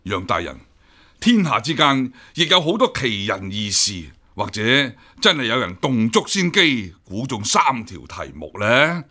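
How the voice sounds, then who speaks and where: A senior imperial examiner in a Cantonese radio drama, with a Hong Kong accent. The voice is husky and forceful with very lively pitch. He speaks formally and smoothly, guarded and easily offended. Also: a little slow, heavily acted. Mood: cautious, persuasive.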